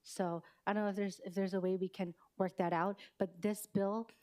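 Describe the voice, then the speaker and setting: A woman's voice: mid-range voice, little pitch movement, moderate pace, warm tone. A woman chairing a city council committee meeting, talking in long hesitant runs with many fillers, and saying she is losing her voice.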